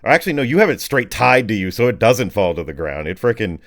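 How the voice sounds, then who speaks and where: A man's voice: fairly low pitch, sing-song. Man improvising vivid tabletop game narration. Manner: vivid, colloquial, halting description. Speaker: game master.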